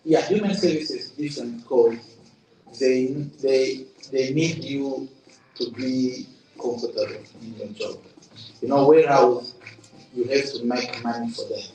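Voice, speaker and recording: Man, mid pitch, halting and earnest, roomy room, mic set back. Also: darkish tone. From Congo. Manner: earnest, halting, repeats words. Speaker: program participant. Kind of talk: testimonial interview. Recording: roomy sound from a distant mic.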